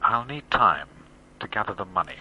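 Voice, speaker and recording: An actor playing a smooth-talking, menacing criminal in a radio drama, guarded and insinuating. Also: male voice, on the low side, sing-song, normal speed. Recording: dull sound, faint background noise.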